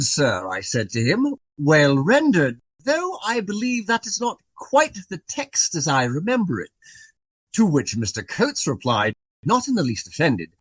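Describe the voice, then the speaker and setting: Male, pompous Regency raconteur for radio drama. Hugely animated delivery, slightly husky, close dry sound. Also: high-pitched, no room sound, full character voice. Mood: courteous, florid. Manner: long-winded, florid, genteel formal phrasing. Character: portly, garrulous, self-important Regency gentleman. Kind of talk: radio play dialogue, storytelling.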